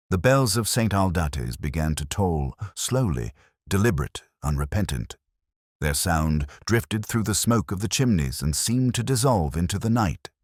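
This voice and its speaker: Man playing weary detective narrator, radio drama, rock-bottom pitch, solemn, measured, reflective, dry studio sound. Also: a little mellow, no echo, exaggerated voice. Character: weary, melancholy older male detective narrating his case. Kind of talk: scripted first-person dramatic narration.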